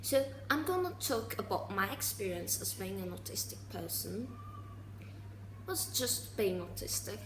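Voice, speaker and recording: A woman around twenty talking casually in a personal vlog, halting and restarting, with sarcastic asides. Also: mid-range voice, big pitch swings, echoey room, noisy background. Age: 20s. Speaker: vlogger.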